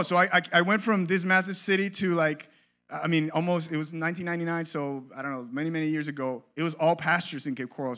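A man from Colombia with a fairly high voice gives a conference workshop talk. He speaks casually, jokes with the room, and stumbles through fillers and restarts. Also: pushed and bright. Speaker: creative ministry leader speaking at a conference.

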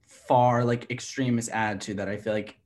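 A man's voice: medium pitch, steady tone, normal speed, a little mellow, slight background noise. A young man of twenty, co-hosting a casual chat podcast. He rambles a bit, leans on filler words and laughs easily between points. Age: 20s. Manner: chatty, heavy use of "like", laughs easily. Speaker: podcast co-host.

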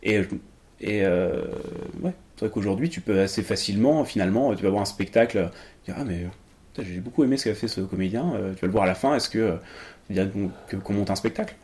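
A man's voice: on the low side, fast talker, darkish tone. A young man from England whose first language is English, speaking in a casual interview with many fillers and restarts. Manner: hesitant, many fillers and restarts, laughs easily. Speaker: interview guest, improv actor.

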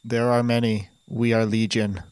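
A man playing a resentful, pompous robot that speaks in a flat monotone with stiff, formal phrasing. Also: medium pitch, normal speed, smooth, no room sound, close to an everyday voice. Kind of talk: improvised comedy sketch.

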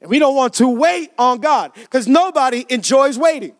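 A man's voice: very high voice, animated, moderate pace. A male pastor preaching a sermon in a conversational way, often checking in with the congregation for responses. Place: church service.

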